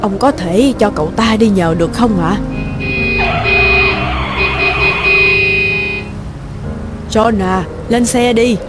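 A woman's voice: medium pitch, moderate pace, lightly acted. A woman playing a kindly, motherly middle-aged housekeeper in a cartoon, caring and deferential. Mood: polite. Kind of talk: cartoon dubbing. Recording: music loud behind the voice.

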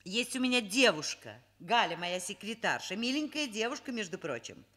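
Bright-voiced, brisk middle-aged professor. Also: female voice, medium pitch, sing-song. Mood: friendly, persuasive. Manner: brisk, direct, drily ironic. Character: brisk, wry middle-aged female professor, kindly boss. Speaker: actor in a radio play. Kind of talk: Soviet radio drama acting.

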